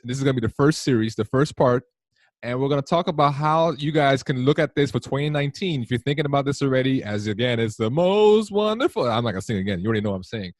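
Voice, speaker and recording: A man hosting a casual podcast, rambling warmly with many fillers and restarts. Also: mid-range voice, no echo. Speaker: podcast host.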